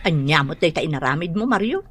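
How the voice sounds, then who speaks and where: A woman's voice: low voice, expressive, fast, a bit bright, husky, exaggerated voice. A woman with an Ilocano Filipino accent playing a nagging, unimpressed village wife in a comedic radio drama. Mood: critical. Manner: complaining, critical.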